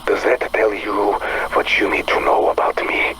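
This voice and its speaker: A man's voice: lowish voice, flat delivery, normal speed, bright, forceful tone, slight background noise, rough recording. Bitter, intense Russian man. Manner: intense, curt, confrontational. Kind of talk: dramatic game dialogue acting.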